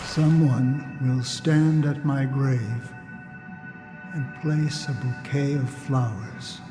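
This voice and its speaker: A man voicing a courteous, melancholy wanderer in a game, quiet, measured and reflective. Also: mid-range voice, fairly even intonation, natural voice. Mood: pensive, grave. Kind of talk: dramatic game voice acting. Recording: loud music with rain behind.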